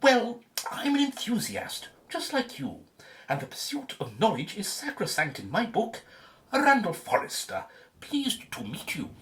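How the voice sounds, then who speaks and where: A woman playing an effusive, pushy, pompous gentleman adventurer in a radio drama. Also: mid-range voice, wildly swooping pitch, measured pace. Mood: hearty, excited. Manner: hearty, pompous.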